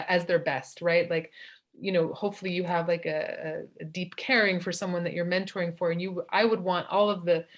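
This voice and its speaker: A woman guest in an interview, speaking earnestly and thoughtfully, often restarting her sentences. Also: fairly low pitch. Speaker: nonprofit director, guest. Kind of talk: casual interview chat.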